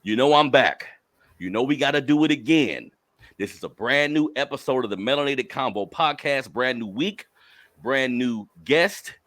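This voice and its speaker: A young man hosting a podcast, hyping up his listeners with slangy, energetic talk before interviewing a guest. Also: medium pitch, normal speed. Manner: hyped, calls listeners family, slangy. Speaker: podcast host.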